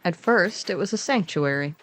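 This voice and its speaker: A woman's voice: on the low side, expressive, moderate pace, slight background noise. A woman co-narrating a fiction podcast in a scripted but conversational storytelling style, playful and informal with dry asides.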